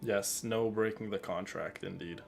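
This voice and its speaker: Male streamer, gravelly and on the low side, earnest, chatty, casual livestream delivery. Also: fairly even intonation, a little slow. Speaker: streamer and content creator. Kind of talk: casual game livestream chat. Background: game music.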